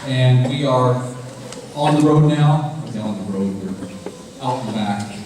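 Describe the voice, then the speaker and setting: A man's voice: mid-range voice, unhurried. A middle-aged man, a professor leading a puppet troupe, gives an explanatory stage introduction and puts questions straight to his audience. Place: festival stage before an audience. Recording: very echoey, distant mic, faint noise.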